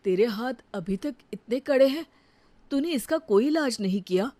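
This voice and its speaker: A woman voicing a patient young husband and dutiful son in a cartoon story, speaking in a calm, reasoning way. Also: a little high, moderate pace. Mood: easy.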